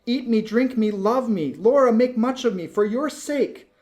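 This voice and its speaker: Man voicing an exultant young woman, high and loud. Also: expressive, unhurried. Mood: exultant, urgent. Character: exultant, fervent young woman calling to her sister. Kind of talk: dramatic poetry reading.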